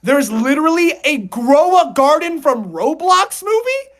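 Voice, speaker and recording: A man co-hosting a podcast, talking in a casual, slangy and animated way with friends. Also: extremely high pitch, unhurried. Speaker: podcast co-host. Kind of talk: casual group podcast chat.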